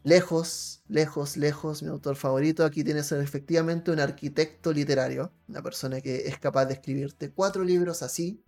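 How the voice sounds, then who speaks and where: A man with a slightly high voice hosts a casual live stream. He speaks effusively and with enthusiasm, with faint music playing behind him. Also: fairly even intonation, moderate pace, hardly any pauses, darkish tone. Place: online video call.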